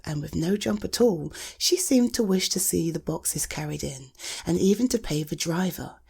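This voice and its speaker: A British woman reading a children's story in a soft, breathy ASMR style, gentle, measured and soothing. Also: on the low side. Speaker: storybook reader.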